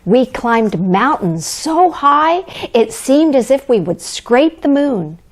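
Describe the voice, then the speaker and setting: A woman plays Santa's kindly wife as a storybook narrator for young children. The delivery is gentle and cheerful, taken at a leisurely pace. The melody rises and falls with plenty of expression. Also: high-pitched, big pitch swings, slow, voice pushed up, heavily acted. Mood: gentle, wondering. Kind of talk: children's storybook read-aloud.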